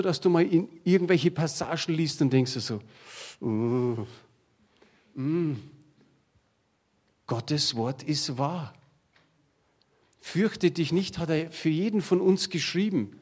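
A man's voice: a little high, a little mellow, husky. A middle-aged man preaching a sermon to his congregation, talking in a plain conversational way and drawing on everyday examples. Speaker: pastor.

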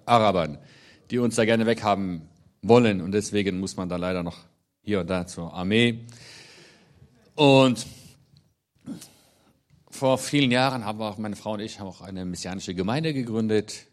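Chatty middle-aged man addressing a congregation. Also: medium pitch. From Israel. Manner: chatty and joking, with frequent hesitations. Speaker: guest speaker at a church service. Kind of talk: casual talk to a congregation. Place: meeting hall.

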